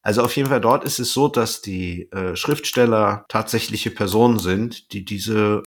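A man's voice: on the low side, moderate pace. A man co-hosting a podcast, chatting casually and explaining plot points of an audio drama. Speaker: podcast co-host.

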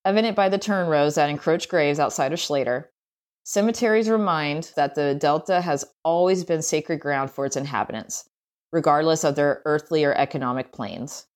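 A woman's voice: deep voice, normal speed. A woman reading a scripted travel essay aloud as narration. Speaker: essay reader.

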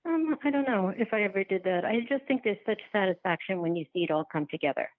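A woman being interviewed as a filmmaker, talking reflectively and haltingly as she thinks aloud and rephrases. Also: medium pitch, lively intonation, dry recording. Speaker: guest, documentary filmmaker. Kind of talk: reflective podcast interview conversation. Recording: thin, phone-like line.

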